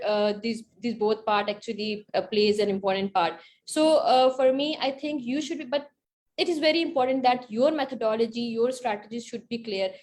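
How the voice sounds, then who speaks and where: A woman giving explanatory advice as a panelist, repeating and rephrasing her points. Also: on the high side. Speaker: panelist, grant recipient.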